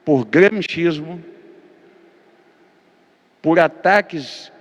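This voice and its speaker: Pastor teaching in a reverberant hall. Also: male voice, on the high side, very slow, muffled recording. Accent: Brazilian Portuguese, Minas Gerais. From Brazil. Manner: hesitant, many fillers and repeated words, then emphatic listing. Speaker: pastor and theology lecturer. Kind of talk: lecture-style teaching talk.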